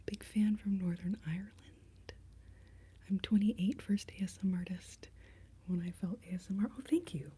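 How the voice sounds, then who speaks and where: Breathy whispering American woman, casual and playful. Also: mid-range voice, steady tone, moderate pace, dark tone. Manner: playful, self-deprecating. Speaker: ASMR live streamer. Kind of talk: whispered ASMR, casual rambling chat with viewers.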